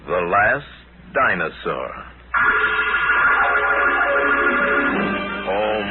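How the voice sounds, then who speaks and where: An actor playing a calm, knowing crime-fighting lama in a 1940s radio drama, measured and courteous as he explains. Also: on the low side, narrow, tinny audio. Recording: thin, noisy 1940s radio recording.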